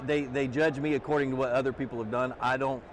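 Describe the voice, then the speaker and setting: A middle-aged man with a loud voice, talking earnestly as a podcast guest and repeating words as he thinks. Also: mid-range voice, steady tone, fast, a little hiss or hum. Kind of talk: casual interview conversation. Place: trade show booth, outdoors.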